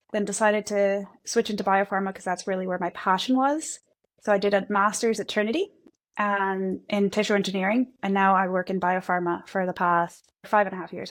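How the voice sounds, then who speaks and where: Easygoing woman engineer, Spanish native. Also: mid-range voice. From Guatemala and El Salvador. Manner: easygoing, quick, self-deprecating. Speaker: guest engineer. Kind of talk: conversational podcast interview.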